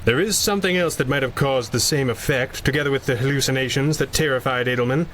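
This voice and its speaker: An actor plays a shrewd gentleman sleuth in a 1930s-style radio serial. He is polite, measured and persistent, with a mid-pitched male voice over faint noise. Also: close to an everyday voice. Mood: grave certainty. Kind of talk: 1930s radio drama acting.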